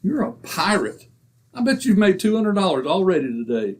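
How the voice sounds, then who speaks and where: A man's voice: high voice, sing-song, normal speed, breathy edge, full character voice. A man acting a stubborn, penny-pinching husband in old-time radio comedy, indignant and folksy in manner. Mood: angry muttering.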